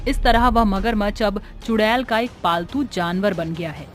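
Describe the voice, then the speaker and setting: A woman's voice: medium pitch, moderate pace. A woman reading scripted horror storytelling narration as the story's narrator. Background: background music.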